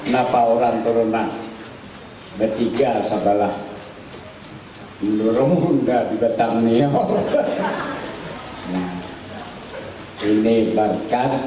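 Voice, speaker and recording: An older man telling a life story at a religious gathering in an unhurried, folksy way with asides. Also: mid-range voice. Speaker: cleric telling his teacher's life story. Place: large hall at a religious gathering. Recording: echoey, distant mic, noisy hall.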